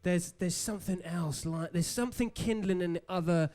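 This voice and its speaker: A man's voice: high-pitched, normal speed, a little mellow. A man hosting a live podcast show, talking to his guest with loose, friendly banter. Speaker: podcast host. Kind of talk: casual live interview chat.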